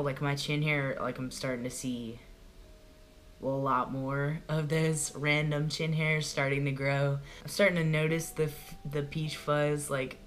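A young man talking casually to the camera in a small room at home, chatty and rambling with lots of "like" fillers, his voice prone to cracking and going hoarse. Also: a little high, fairly bright tone. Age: young adult. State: voice goes hoarse easily. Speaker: vlogger. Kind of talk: casual video diary. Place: small bedroom at home, indoors. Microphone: camera in front at close range.

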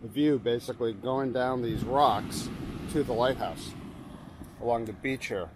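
A man's voice: medium pitch, measured pace. A man in his fifties from Portland, Maine, talking casually as he sets up a photograph on a rocky beach at dawn, explaining as he works. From Portland, Maine, USA. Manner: relaxed, explaining as he works, many fillers and restarts. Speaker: landscape photographer. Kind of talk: casual vlog narration while shooting. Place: rocky beach outdoors at dawn, waves behind. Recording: outdoor noise from waves. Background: surf and ambient noise.

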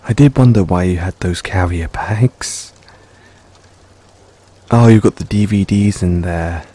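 A man playing an affectionate, teasing middle-aged father doting on his younger boyfriend in an intimate audio roleplay, speaking playfully and fondly to the listener. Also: low-pitched. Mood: fond amusement. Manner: playful, fond, chuckling between unhurried phrases. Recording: steady rain noise in background. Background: rain falling.

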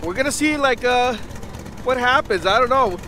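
A man hosting a casual fishing vlog from a boat outdoors, easygoing and chatty, breaking into excited shouts. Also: extremely high pitch, moderate pace, faint music behind. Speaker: fishing vlogger and host. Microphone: handheld or head-mounted camera.

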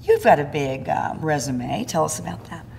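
A woman's voice: low-pitched, animated, moderate pace, gravelly, crowd noise. Chatty woman host, voices behind. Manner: chatty, digressive, enthusiastic. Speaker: talk show co-host. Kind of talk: casual chat. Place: studio set with sofa. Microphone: handheld mic.

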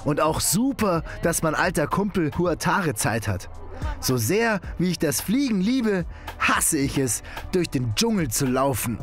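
Easygoing, unhurried dad. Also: male voice, on the high side, big pitch swings, faint music behind. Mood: jovial. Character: easygoing, friendly father chatting with old pal. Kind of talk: audio drama dialogue acting.

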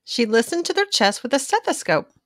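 A woman reading a children's storybook aloud in an expressive, animated narrating style for young listeners. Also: fairly high pitch, brisk, dry recording, clean studio sound.

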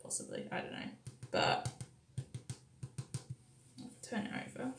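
A woman's voice: unhurried, noisy background. A young woman chatting casually through a home baking demonstration, upbeat and playful, with plenty of filler ums and little history asides. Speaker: home cooking vlogger. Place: small home kitchen, indoors. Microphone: camera mic on the counter, speaker moving around. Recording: echoey kitchen room sound.

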